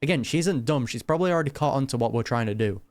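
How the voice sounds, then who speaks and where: A man's voice: mid-range voice, expressive, rapid-fire, darkish tone, no echo. A man giving casual, slangy live commentary as a gaming streamer, with wry asides. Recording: game music behind the commentary.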